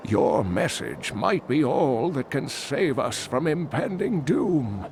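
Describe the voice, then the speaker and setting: A man's voice: medium pitch, very animated, slow pace, vocal fry, a little mellow, slight background noise, heavily acted. A man voicing a wise old scholar and mentor, earnest and inquisitive, in a video game.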